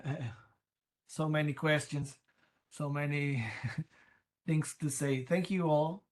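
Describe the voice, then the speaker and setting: Unhurried Portuguese-accented man, earnest, halting panel speaker. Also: on the high side, steady tone. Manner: halting, searching for words, earnest. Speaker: pastoral school representative. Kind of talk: panel debate answer.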